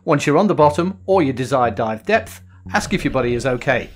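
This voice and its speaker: A middle-aged man narrates a diving lesson in a calm, even, explanatory voice at a medium pitch. He reads a script steadily, and soft music plays quietly behind him. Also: fairly quick. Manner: calm explanatory, acts out signals as quoted lines. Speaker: host. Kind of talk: scripted voice-over instruction.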